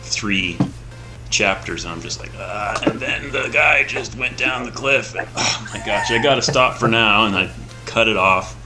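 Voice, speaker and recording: A man with a deep, clear voice chatting casually before a tabletop game, laughing easily as he tells stories. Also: unhurried, over music, rough recording. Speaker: player. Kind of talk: casual pre-game chat.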